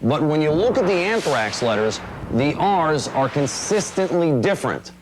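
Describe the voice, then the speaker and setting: A man's voice: a little high, sing-song, normal speed, a little hiss or hum, poor audio. An American man in a TV news report, demonstrating evidence to viewers step by step.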